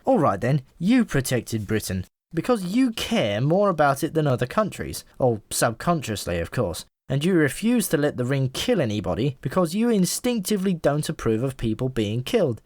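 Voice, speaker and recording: A man's voice: mid-range voice, sing-song, moderate pace, few pauses, darkish tone, no room sound, clean studio sound. A man voicing a chatty, world-weary pigeon in an audiobook, talking in an offhand, frank and matter-of-fact way. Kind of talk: audiobook character voice.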